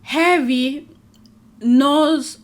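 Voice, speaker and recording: A woman's voice: sits high, drawn-out words. A woman whose first language is not English, hosting a casual commentary show and reacting to clips with frequent laughter. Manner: animated, laughs often, talks to her audience. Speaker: host.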